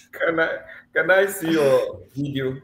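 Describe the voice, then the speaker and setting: A man's voice: fairly high pitch, slow, slight background noise. A man coaching a student for a mock visa interview over a video call, teasing and laughing often but encouraging. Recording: echoey room with faint ambience.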